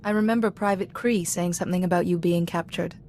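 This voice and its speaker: A woman playing a composed, diplomatic Jedi Master in a video game, speaking in a measured, formal, reassuring way. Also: on the low side, steady tone, a little mellow, slight background noise, lightly acted. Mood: calm, composed. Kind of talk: cinematic video game voice acting.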